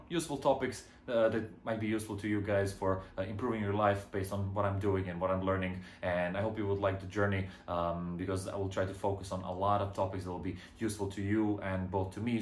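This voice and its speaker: A man's voice: on the low side, reverberant. A young man from Croatia with a Croatian accent, talking casually to camera as a vlogger, with lots of ums and uhs. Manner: hesitant, many ums and uhs. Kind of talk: casual vlog talk to camera.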